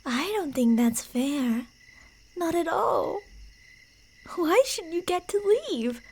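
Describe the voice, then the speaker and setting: A woman playing a delusional, playfully menacing yandere killer in an ASMR roleplay, teasing in a sing-song way and slipping into breathy whispers. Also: extremely high pitch, lively intonation, slow, darkish tone, full character voice. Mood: teasing menace.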